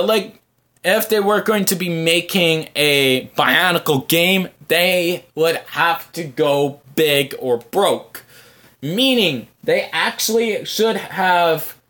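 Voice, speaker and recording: A man hosting a casual fan podcast, answering viewer comments in a halting, rambling way as he thinks aloud. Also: fairly high pitch, fairly bright tone. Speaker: podcast host.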